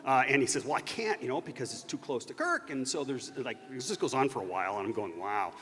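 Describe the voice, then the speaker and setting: A man telling a story casually on a convention stage, in a halting, rambling way with many false starts, recorded in an echoey hall. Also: mid-range voice, lively intonation, a bit bright, slight background noise. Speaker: panelist recounting unproduced TV episodes.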